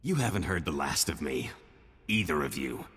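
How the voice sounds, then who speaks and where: Slippery, husky, wheedling alien dealer. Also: male voice, rumbling low, big pitch swings, moderate pace, a bit bright, in a large hall, full character voice. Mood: indignant, desperate. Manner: oily salesman's bargaining, indignant bursts. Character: slippery, wheedling male alien crime dealer, smugly boastful. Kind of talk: video game voice acting.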